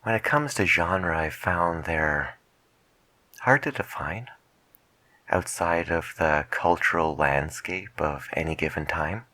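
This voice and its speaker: A soft-spoken man playing a late night radio DJ in an ASMR style, talking in a measured, reflective, hushed manner close to the mic. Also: low-pitched, no echo.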